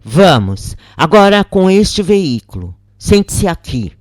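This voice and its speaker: Narrator doing a male netherworld guide, brisk, instructive, lively pitch, dry sound, storybook telling. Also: dry recording. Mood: brisk, commanding.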